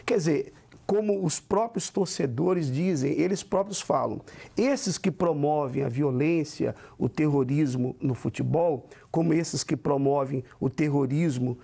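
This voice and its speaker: Brief: gravelly, dark-toned male panelist, explanatory. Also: a little high, unhurried. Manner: explanatory, drawing comparisons. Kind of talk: discussion panel commentary.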